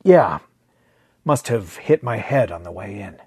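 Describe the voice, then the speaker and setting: A man voicing a weary, protective male space rescue diver, gentle and plain-spoken, in a science fiction story. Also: lowish voice, big pitch swings, a little slow, breathy edge, dry recording, studio quality, full character voice. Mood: wry, dismissive.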